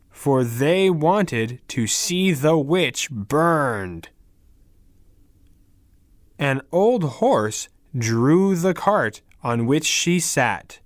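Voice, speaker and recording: A man narrating a fairy tale for language learners in a deliberate, carefully enunciated way, with pauses between short phrases. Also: fairly high pitch, no echo, studio quality. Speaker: story narrator.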